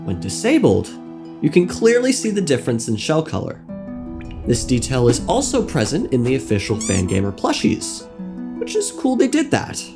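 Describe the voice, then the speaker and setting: A man's voice: medium pitch, huge pitch swings, moderate pace. A young man narrating a scripted comedic video essay on game lore in a playful, mock-serious manner. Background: background music.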